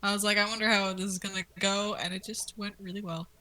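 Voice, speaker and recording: A woman's voice: medium pitch, little pitch movement, fast talker, sharp tone. A woman co-hosting a commentary in casual chat, informally reacting and prompting her partner. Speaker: co-host.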